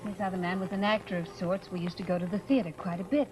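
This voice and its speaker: An actress playing a troubled, earnest wife confiding hesitantly in an old radio horror drama. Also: female voice, fairly low pitch, steady tone, fast, natural voice, poor audio. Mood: anguished, confiding. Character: troubled, confiding wife recounting her lost love. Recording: old radio recording with music.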